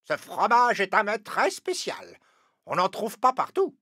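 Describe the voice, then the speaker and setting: A conceited, selfish cartoon crow, squawks in a sharp, husky voice that leaps wildly up and down. The manner is haughty and dismissive, and the sound is close and dry. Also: female voice, medium pitch, sing-song, a little slow, no echo, exaggerated voice. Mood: indignant, dismissive. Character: selfish, arrogant, vain crow in a fable. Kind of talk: animated fable character acting.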